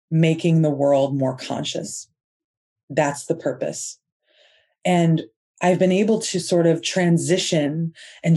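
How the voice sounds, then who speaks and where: A woman's voice: low-pitched, breathy edge. A woman hosting her own podcast, talking in an earnest, heartfelt way and stretching out the words she stresses. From United States. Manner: earnest, soft-spoken and heartfelt, drawn-out emphasis. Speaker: podcast host. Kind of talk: inspirational podcast monologue.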